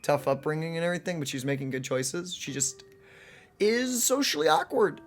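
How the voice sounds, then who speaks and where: Expressive woman doing casual let's play commentary. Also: low-pitched, normal speed. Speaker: host of a gaming let's play channel. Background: game music.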